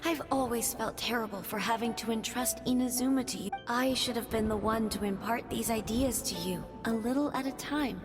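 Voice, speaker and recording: Game role: departed goddess played by a woman, high voice, tender, serene, formal; long reverb, music behind. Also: natural voice. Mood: apologetic, wistful. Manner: tender, formal, unhurried storytelling delivery. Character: gentle, serene departed goddess, a lingering spirit bidding farewell. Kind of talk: video game voice acting.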